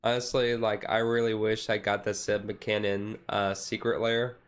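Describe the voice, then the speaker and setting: A man's voice: lowish voice, flat delivery, normal speed, clean tone. A man streaming a casual, laid-back ASMR chat in a rambling way, with music behind him.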